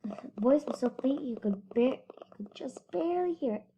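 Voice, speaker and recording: A female voice reads a children's story aloud. It sits on the high side and follows a storybook narration style. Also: lively intonation, moderate pace, dark tone, dull audio, a little hiss or hum. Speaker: storybook reader.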